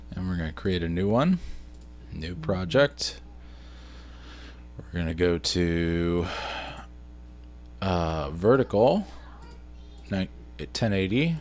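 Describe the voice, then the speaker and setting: A man narrating a casual screen-share vlog, informally thinking aloud as he works through tasks on the computer. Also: deep voice. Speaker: vlogger and video editor.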